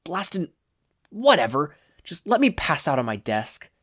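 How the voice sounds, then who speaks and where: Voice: mid-range voice, sing-song, measured pace, a bit bright, pitched above the performer's own voice. A podfic reader voicing a brash, hot-tempered teenage boy hero student who grumbles, snaps and boasts. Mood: tired grumbling.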